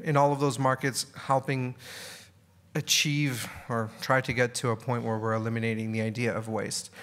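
A man's voice: mid-range voice, a bit bright. A man giving a conference keynote in a loose, casual way, often repeating words and asking "right?". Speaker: keynote speaker. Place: conference hall.

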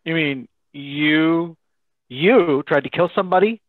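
A man's voice: fairly high pitch, no echo, lightly acted. A man playing a courteous, formal radio announcer in a 1930s-style radio drama, over a video call. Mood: curious. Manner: formal, measured announcer delivery. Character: courteous male radio announcer, Mr. Bell, visiting Watson. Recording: thin phone-like video call sound.

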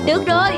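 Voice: very high-pitched, heavily acted. An actor playing a foolish, bossy, hapless stout man in a cartoon. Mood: smug, bossy. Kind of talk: cartoon voice acting. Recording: loud music behind the voice.

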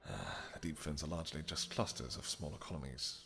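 A man playing a suave male vampire king, smooth, flirtatious and dryly amused, in an audio roleplay. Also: very deep voice, lively intonation, brisk, pushed and bright, full character voice. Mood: scheming. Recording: long reverb with music behind.

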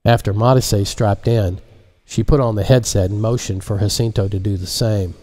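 Man in his fifties, low pitch, soft warm tone, deliberate audiobook narration, close mic. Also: fairly even intonation. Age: 50s. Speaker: author reading his audiobook. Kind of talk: scripted narration, audiobook reading. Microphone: large studio microphone close in front.

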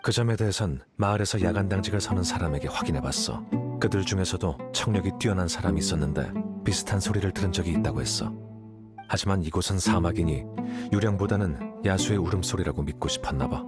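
A man as a deep, cool, rational scholar. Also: close to an everyday voice. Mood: calm, factual. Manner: matter-of-fact, measured. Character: composed, rational young male scholar, cool and aloof. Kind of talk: video game character dialogue. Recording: loud background music.

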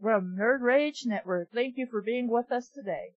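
A woman's voice: on the high side, normal speed, dry recording, lo-fi sound. A woman bantering casually and reading scripts aloud with a group on a voice call. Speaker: member of a voice-acting group doing readings.

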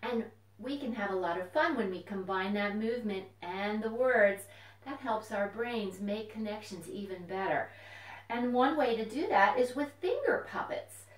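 A woman's voice: mid-range voice, animated, moderate pace. A woman hosting a children's storytime, speaking to young children in simple phrases with questions and encouragement. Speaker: children's librarian, storytime host. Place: indoors at home. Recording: echoey room, distant mic.

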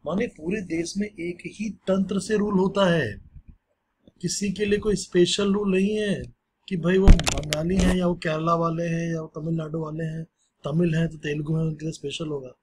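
A man's voice: on the high side, steady tone, normal speed, a little mellow, slight background noise. Chatty male teacher, Hindi-English mix. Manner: conversational, mixes Hindi with English phrases. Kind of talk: explaining a class lesson.

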